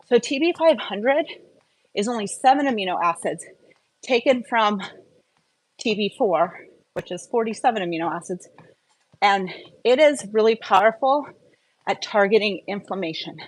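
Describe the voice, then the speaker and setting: A woman's voice: medium pitch, rough recording. A woman hosting a casual live health stream, explaining things in an enthusiastic, emphatic and informal way with chatty asides.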